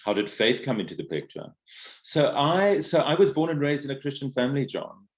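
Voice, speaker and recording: A man's voice: mid-range voice, very animated, a little fast. A middle-aged man from Africa, a podcast guest talking reflectively about his life, with many fillers and restarts. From South Africa. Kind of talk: casual interview conversation.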